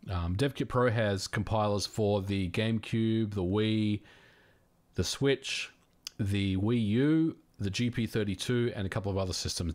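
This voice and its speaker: Man, Australian accent, somewhat low voice, livestream host, casual friendly chat, lots of fillers. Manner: relaxed, friendly, frequent fillers and restarts. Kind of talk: casual livestream chat reading viewer questions.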